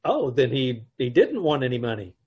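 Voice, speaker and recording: A man as a husky, wry radio-comedy husband. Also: mid-range voice, very animated, moderate pace, a little mellow. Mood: exasperated. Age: middle-aged. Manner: wry, wisecracking. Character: wry, exasperated middle-aged husband narrating his troubles. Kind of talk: old-time radio comedy acting. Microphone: video call.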